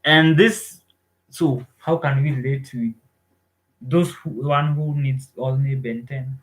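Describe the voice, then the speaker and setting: A man's voice: mid-range voice, fairly even intonation, slow, low-quality recording. A man from Kenya with a Kenyan accent, co-hosting a casual livestream chat and talking hesitantly with lots of "like" fillers and restarts. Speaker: co-host.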